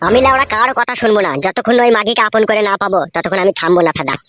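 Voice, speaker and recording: Man doing a rapid-fire, high-pitched hot-headed cartoon guy. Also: lively intonation, bright, forceful tone, faint music behind. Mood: angry. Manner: foul-mouthed, belligerent. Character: stubborn, lovesick, hot-headed married young man. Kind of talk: crude comedy cartoon dialogue.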